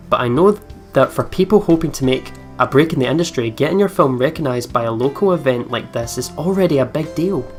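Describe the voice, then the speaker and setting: A let's play host reading game text aloud with casual commentary, over game music. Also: mid-range voice.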